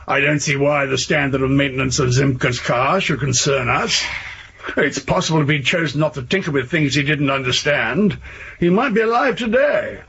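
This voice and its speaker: An actor playing a coolly authoritative, evasive police chief, formal and dismissive, in a radio drama. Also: male voice, mid-range voice, expressive, normal speed, gravelly, a bit bright, slightly rough, lo-fi sound, exaggerated voice.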